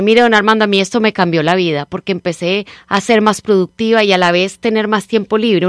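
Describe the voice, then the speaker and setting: A woman's voice: medium pitch, no room sound, clean studio sound. Colombian woman coaching on a radio show. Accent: Colombian Spanish mixed with Nicaraguan. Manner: animated, persuasive, coaching tone with frequent "¿verdad?". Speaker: radio guest, time-management trainer. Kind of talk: radio interview, promoting a seminar.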